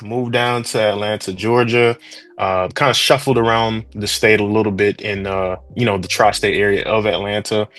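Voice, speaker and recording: A young man from Trinidad, now a 3D artist, talking about his path in a relaxed, rambling interview manner. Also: lowish voice. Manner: laid-back, rambling, slangy. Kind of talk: casual interview chat.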